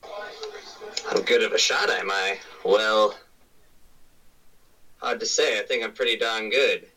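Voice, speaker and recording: A man playing a tabletop game, talking in a slow, folksy drawl. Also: lowish voice, bright, forceful tone, distant mic, poor audio. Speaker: player. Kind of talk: casual tabletop play.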